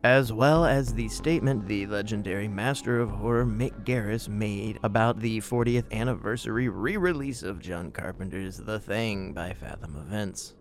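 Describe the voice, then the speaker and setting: A man's voice: on the low side, moderate pace, hardly any pauses, faint music behind. A man hosting a conversational film podcast, speaking in an emphatic, opinionated way. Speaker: podcast host.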